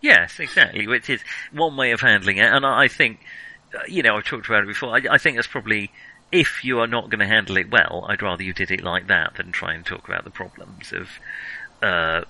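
A man's voice: fairly low pitch, fairly quick, vocal fry, pushed and bright, rough recording. A man co-hosting a casual film discussion, talking in a hesitant, chuckly, conversational way. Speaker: podcast co-host.

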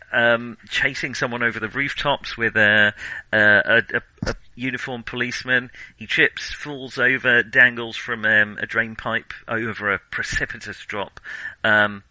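A man co-hosting a film podcast, chatting casually in a halting, digressive way and often correcting himself. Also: medium pitch, fairly even intonation, pushed and bright, dry recording, low-quality recording. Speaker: film discussion podcast co-host.

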